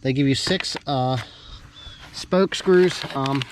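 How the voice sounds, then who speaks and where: A man giving a casual, off-the-cuff unboxing commentary, halting and thinking aloud as he handles parts with a camera in hand. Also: mid-range voice. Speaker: hobbyist vlogger doing a product unboxing. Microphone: handheld camera held while working, varying distance. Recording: noisy handheld camera audio, clear background noise.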